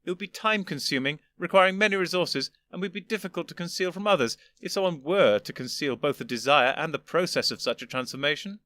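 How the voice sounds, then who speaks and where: Man voicing an elderly gentleman, condescending, lecturing, weary patience, raised bright voice, storybook. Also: a little high, fast, few pauses, pitched above the performer's own voice. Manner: precise, lecturing, wearily patient.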